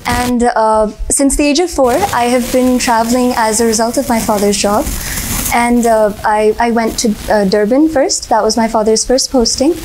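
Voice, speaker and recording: A young woman in her twenties with an American accent and a Hindi-speaking home, answering interview questions politely, often saying "sir" and pausing on "uh". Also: fairly high pitch, darkish tone, slight background noise, poor audio. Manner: polite, says sir often, frequent uh fillers, light laughs. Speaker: interview candidate. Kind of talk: formal mock interview answers.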